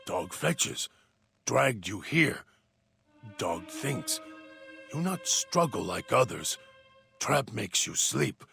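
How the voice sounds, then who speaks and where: A man voicing a deranged, hungry male dog-creature who talks of himself in halting, broken third-person speech. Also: medium pitch, sing-song, pushed and bright, heavily acted. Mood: eerie. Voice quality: gravelly. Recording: music bed underneath.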